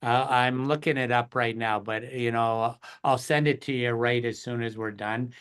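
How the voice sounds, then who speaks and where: A man's voice: mid-range voice, steady tone, normal speed, a bit bright, clear, resonant tone. A man leading an online session, talking casually and affirming others. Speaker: session organizer. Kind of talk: casual meeting chat.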